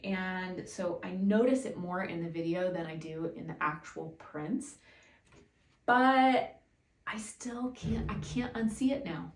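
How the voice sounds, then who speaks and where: Lowish voiced woman photographer just musing out loud, bit far from the mic, room echo. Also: takes it slowly. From Japan. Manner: informal, musing aloud. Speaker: photographer presenting a studio video. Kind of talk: casual chatty vlog. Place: indoor studio. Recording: roomy, distant mic, music behind.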